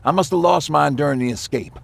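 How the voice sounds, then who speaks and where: A man playing a cautious, practical repairman survivor in a video game, with a gravelly voice. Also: fairly high pitch, brisk. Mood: wary, practical.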